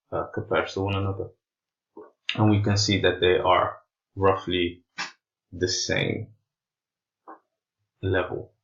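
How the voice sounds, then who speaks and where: A man, a native Portuguese speaker with non-native English, giving an informal explainer in a halting, hesitant way, searching for words. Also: lowish voice, vocal fry, highs cut off. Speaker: presenter of an explainer video.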